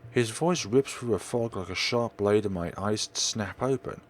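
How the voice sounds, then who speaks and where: A man reading a horror story game aloud as a let's play host, in an expressive, theatrical storytelling manner. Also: on the low side, normal speed. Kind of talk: dramatic scripted narration with asides.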